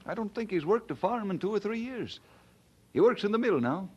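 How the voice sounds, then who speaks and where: Young preacher man, troubled and sincere, pretty high voice, really animated pitch, old radio play style. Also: brisk, slightly rough, lo-fi sound. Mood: earnest, compassionate. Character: earnest, troubled young male minister, humble and compassionate. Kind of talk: radio drama acting.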